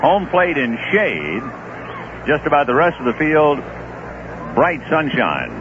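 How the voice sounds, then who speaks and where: Lively baseball announcer on old radio. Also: male voice, fairly high pitch, slow, crowd noise. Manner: relaxed, conversational play-by-play with asides. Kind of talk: live baseball radio commentary. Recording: dull old radio broadcast with noise.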